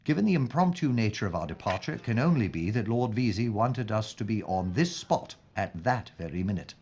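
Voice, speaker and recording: An actor playing an earnest police inspector in a wartime audio drama, speaking formally and deliberately. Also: male voice, on the low side, animated, a little mellow, breathy edge. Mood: grave, deducing. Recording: dull lo-fi sound, faint noise.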